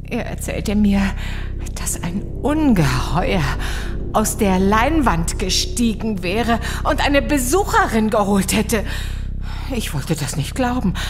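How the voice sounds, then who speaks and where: A frightened, blackmailed mother in a German horror audio drama. She speaks slowly and haltingly, with tearful swings in pitch, over faint music. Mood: fearful, confiding. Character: distraught mother, blackmailed by a sinister stranger.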